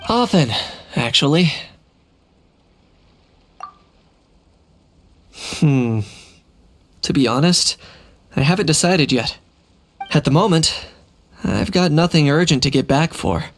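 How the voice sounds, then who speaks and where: Stoic, deadpan young man. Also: a little high. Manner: deadpan, measured, reserved. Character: stoic, self-reliant young man, pragmatic and guarded. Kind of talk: video game character acting. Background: music.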